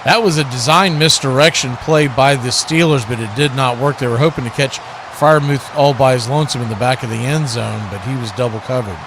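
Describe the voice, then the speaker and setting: A man's voice: mid-range voice, fairly quick, noisy background. A man calling live football play-by-play as a sportscaster.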